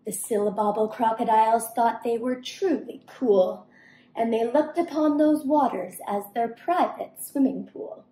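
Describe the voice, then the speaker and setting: A young woman reading a rhyming children's story aloud expressively and deliberately. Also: on the high side, mellow. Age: young adult. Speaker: storybook reader. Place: home kitchen-dining room, indoors. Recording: roomy indoor echo.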